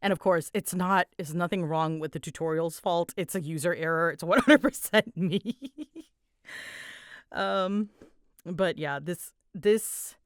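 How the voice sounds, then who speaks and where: Lower-voiced woman, casual and chatty, dry recording. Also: measured pace, clean tone, no echo. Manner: animated, giggly, self-deprecating. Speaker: hobbyist audio roleplay creator answering listener questions.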